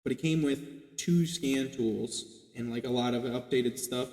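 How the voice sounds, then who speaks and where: A man's voice: medium pitch, measured pace, darkish tone. A man in his late twenties chatting casually on a podcast, rambling with lots of "like" fillers and casual swearing. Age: 20s. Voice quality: self-described light boyish voice. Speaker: podcast guest. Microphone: podcast mic. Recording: echoey room with long reverb.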